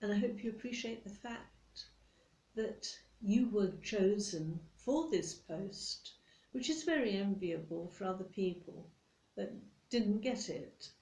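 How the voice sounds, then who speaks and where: Slow, fussy British boss played by a woman. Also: medium pitch, darkish tone, reverberant, poor audio. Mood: condescending, controlling. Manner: condescending, politely scolding, hesitant pauses. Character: fussy, nitpicking, controlling female office boss. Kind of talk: soft-spoken ASMR roleplay monologue.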